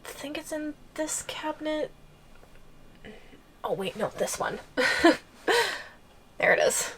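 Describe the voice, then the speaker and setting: A woman's voice: sits high, lively intonation, a bit bright, a little hiss or hum, heavily acted. A woman playing an obsessive giantess girlfriend in an ASMR audio roleplay, coy and hesitant, with playful, sweet menace. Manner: slow, coy, hesitant phrasing with teasing menace.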